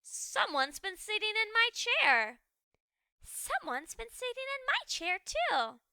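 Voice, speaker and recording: A woman's voice: very high-pitched, sing-song, slow, sharp tone, dry recording, clean studio sound, pitched above the performer's own voice, exaggerated voice. A woman voicing a friendly, easily startled storybook ghost in a theatrical, exclaiming manner. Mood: indignant surprise.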